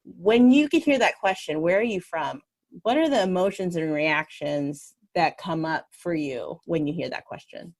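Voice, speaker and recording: A woman's voice: lowish voice, animated, normal speed, darkish tone. A woman hosting a podcast, who asks earnest, reflective questions and shares her own feelings in an easy conversational tone. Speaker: podcast host. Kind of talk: conversational interview.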